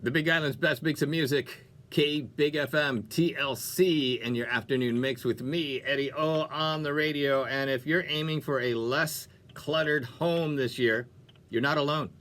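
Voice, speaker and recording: A man's voice: fairly high pitch, measured pace. Upbeat male radio DJ, playful and chatty. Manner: animated delivery, folksy and playful. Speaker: radio DJ and show host. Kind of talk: upbeat music radio presenting, chatty talk breaks.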